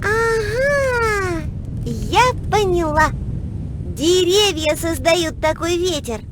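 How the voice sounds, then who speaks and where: A woman voicing a curious, excitable little girl who wonders aloud expressively in a children's educational cartoon. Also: extremely high pitch, slow, background noise, heavily acted. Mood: curious, puzzled.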